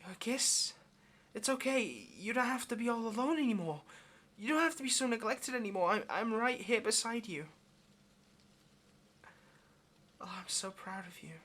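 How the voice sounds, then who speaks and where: A man's voice: very high-pitched, expressive, fairly quick, few pauses, a bit bright, full character voice. A man playing a lonely, clingy yandere demon in an intimate audio roleplay, speaking tenderly and hesitantly straight to the listener with obsessive devotion. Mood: tender, elated.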